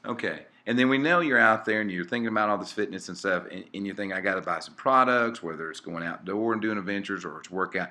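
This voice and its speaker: Man, 40s, very low voice, easygoing co-host talking with lots of "uh"s. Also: fast talker, hardly any pauses. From Mississippi. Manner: relaxed, many fillers and restarts. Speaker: channel co-host. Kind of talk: casual voice-over narration.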